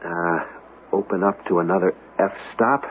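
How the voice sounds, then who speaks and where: Absorbed designer in old radio play, lowish voice, earnest, faint hiss behind. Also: male voice, fairly even intonation, unhurried. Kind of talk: 1970s radio drama acting. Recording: dull lo-fi radio, faint noise.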